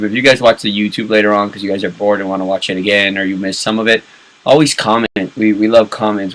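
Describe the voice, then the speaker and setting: A man's voice: lowish voice, poor audio. Crude, joking man chatting casually. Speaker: podcast co-host. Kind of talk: casual live chat.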